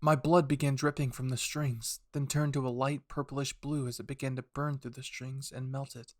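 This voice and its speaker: A man reads dramatic fiction with an intense delivery. His voice sits at a middling pitch, recorded close and dry with hardly any room sound. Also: level tone, normal speed, no room sound. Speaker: fan fiction narrator. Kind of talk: dramatic scripted story narration.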